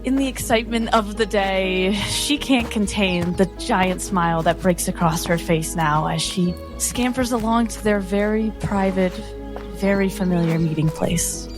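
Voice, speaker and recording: A woman playing a tabletop game, narrating her character's fantasy story with expressive, dramatic phrasing. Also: medium pitch, unhurried. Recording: music under the voice. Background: music.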